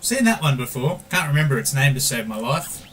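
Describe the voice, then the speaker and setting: Aussie guy streaming, chill, says mate a lot, bright voice, bit of game music under it. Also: medium pitch, big pitch swings, fairly quick. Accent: Australian. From Australia. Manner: laid-back, calls everyone mate, reads chat messages aloud. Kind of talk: casual gameplay stream chat.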